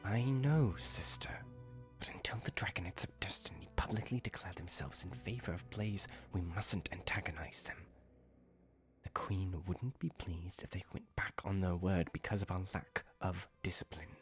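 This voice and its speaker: Man as a low-voiced, dutiful dragon prince. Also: whispered, soft background music, natural voice. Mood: hushed, placating. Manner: measured, formal. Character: restrained, diplomatic young male dragon prince, dutiful. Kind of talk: fantasy audio drama acting.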